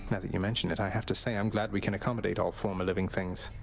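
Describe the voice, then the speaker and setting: Low-voiced brooding young underworld prince. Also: male voice, level tone, very fast, gravelly, soft background music. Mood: thoughtful, subdued. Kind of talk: video game voice acting.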